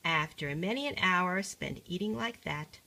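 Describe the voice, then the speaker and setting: An older woman reading a rhyming picture book aloud like a grandmother, measured and singsong. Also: fairly low pitch, expressive, creaky, sharp tone.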